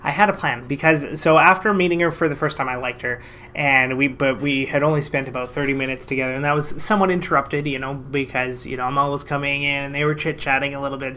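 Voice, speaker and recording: Man, from Canada, casual vlog storytelling, lively, self-mocking, mid-pitched voice. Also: slight background noise. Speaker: financial advisor and vlogger.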